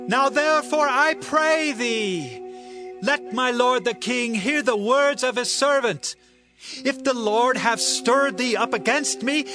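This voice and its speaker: An earnest young warrior, high-pitched and declamatory. He speaks archaic lines with righteous passion and humility, as in an audio Bible drama with music underneath. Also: pushed and bright, heavily acted. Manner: declamatory, impassioned archaic delivery. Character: earnest, righteous young warrior pleading humbly with his king.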